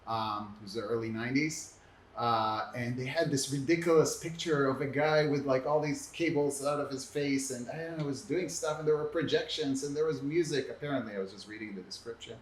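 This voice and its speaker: A middle-aged man speaks informally and reflectively about his own career, often halting as he gathers his words. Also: medium pitch. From Israel. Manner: hesitant, reflective, halting phrasing. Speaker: art and technology academic, panel guest. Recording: echoey room, faint background noise.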